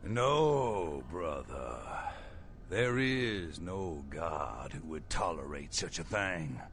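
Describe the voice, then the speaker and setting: Video game villain: fanatical vampire cult preacher, grandiose, slow and deliberate, dramatic rises and drops. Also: male voice, deep voice, expressive, a bit bright, slight background noise, heavily acted. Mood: bitter, fervent. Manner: grandiose, theatrical preacher's delivery with drawn-out words.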